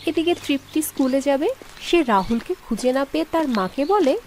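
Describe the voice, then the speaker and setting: A woman's voice: sits high, animated, normal speed, a little mellow, slight background noise. A woman reading scripted narration for a children's fairy tale cartoon.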